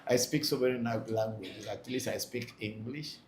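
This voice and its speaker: Man, spiritual leader hosting a live Q&A, gravelly mid-pitched voice, blunt and repetitive, echoey room. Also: moderate pace. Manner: plain-spoken, repeats himself for emphasis. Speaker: Vodu priest hosting a live Q&A. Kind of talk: live Q&A, reading out and answering questions. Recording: echoey room, faint background noise.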